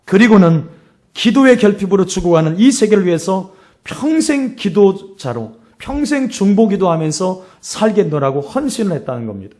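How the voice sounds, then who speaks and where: A middle-aged man with a hoarse voice preaching a sermon as a pastor, telling stories and exhorting with emphatic repetition. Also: sits high, big pitch swings, normal speed, dark tone.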